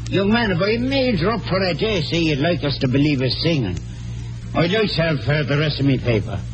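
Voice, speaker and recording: An actor playing a huffy, pompous older small-town doctor in 1940s radio style. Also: male voice, a little high, expressive, a little fast, close to an everyday voice. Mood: indignant, huffy. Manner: pompous, fussy, exclamatory. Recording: old radio recording with music.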